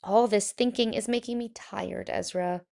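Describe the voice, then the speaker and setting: A woman voicing an earnest, worried male painter in a children's picture book, with animated, expressive delivery. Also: medium pitch, normal speed, vocal fry, close to an everyday voice.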